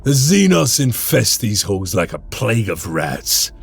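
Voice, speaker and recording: An actor playing a stern, zealous space marine commander in a video game, speaking in grand, solemn oratory. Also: male voice, on the low side, very animated, unhurried, vocal fry, noisy background, full character voice. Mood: grave, brooding. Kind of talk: dramatic video game cinematic acting. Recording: dramatic music behind the voice.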